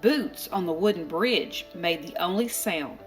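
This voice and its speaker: A woman narrating a children's storybook aloud, expressively and deliberately, with dramatic pauses. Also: on the low side, expressive. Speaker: storybook reader. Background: music.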